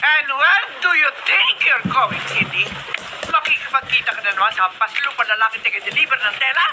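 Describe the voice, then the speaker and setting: A woman's voice: high-pitched, expressive, a little fast. A woman playing a domineering, threatening middle-aged mother in a radio drama, with an imperious manner. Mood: angry, menacing. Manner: imperious, menacing. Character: domineering, threatening mother, a wealthy compound's boss. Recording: noisy radio drama broadcast.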